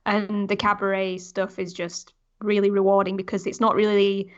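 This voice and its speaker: Female podcast panelist, mid-pitched, casual conversational delivery, slightly muffled lo-fi audio. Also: level tone, normal speed. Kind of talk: casual gaming discussion. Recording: dull, band-limited voice audio.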